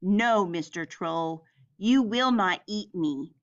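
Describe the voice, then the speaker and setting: A woman's voice: mid-range voice, dull audio, close to an everyday voice. A woman voicing a big, bold, fearless male billy goat from a storybook, speaking firmly. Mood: firm, defiant. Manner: firm, unafraid, deliberate.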